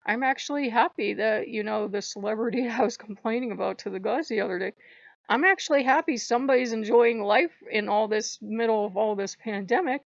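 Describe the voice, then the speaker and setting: A slightly hoarse woman hosting her own channel, talking in an earnest, rambling way and repeating phrases for emphasis. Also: a little high, brisk, dry recording, muffled recording. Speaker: channel host. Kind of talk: casual spiritual vlog monologue.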